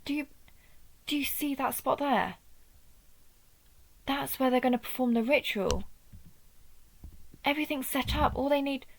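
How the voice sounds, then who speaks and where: A woman playing a wry vampire who hunts her own kind, speaking in a deliberate, hushed way in a scripted audio roleplay. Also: medium pitch, steady tone, creaky, a little hiss or hum, low-quality recording. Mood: dawning horror.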